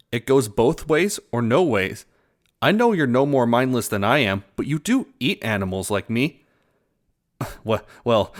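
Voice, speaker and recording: A man playing a clever, sarcastic small prey animal in a fantasy audio drama, with a wry, measured storytelling manner. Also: mid-range voice, lightly acted. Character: knowing, sarcastic, sassy small prey animal, world-weary and sharp.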